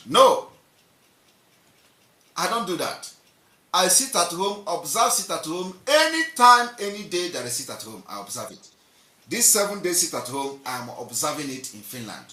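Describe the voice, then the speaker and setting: A man's voice: a little high, bright, forceful tone, far from the mic. A man with a Nigerian accent giving an impassioned, repetitive political monologue, mocking and emphatic. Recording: roomy echo, off-mic.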